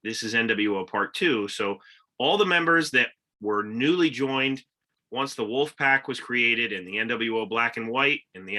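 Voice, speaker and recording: A man's voice: medium pitch, pushed and bright. A man co-hosting a casual wrestling podcast, upbeat and teasing his co-host.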